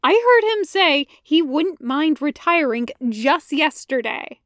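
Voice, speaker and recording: A woman playing an exasperated, high-pitched young man. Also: lively intonation, slow pace, fairly bright tone, no echo, studio quality, full character voice. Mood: exasperated, insistent. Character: exasperated, whiny, impulsive young man scheming as matchmaker.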